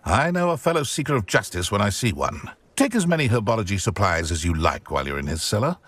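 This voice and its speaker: A vengeful British wizard trader for a fantasy game. His voice is rough and swings widely in pitch, dry and close. He gloats theatrically as he schemes. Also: big pitch swings, fast talker, a bit bright, no room sound. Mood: gloating, scheming. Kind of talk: video game voice acting.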